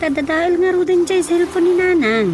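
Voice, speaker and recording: A woman's voice: very high-pitched, animated, moderate pace. A woman playing an insistent grown daughter in an Ilocano radio drama, speaking with an Ilocano accent. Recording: music behind the voice.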